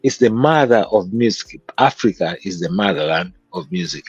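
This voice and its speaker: A man's voice: on the low side, expressive, moderate pace, a little mellow, breathy edge, no room sound, muffled recording, faint music behind. A man with a Kenyan accent, a guest musician telling stories from his childhood in an interview. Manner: reflective, storytelling. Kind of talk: interview conversation.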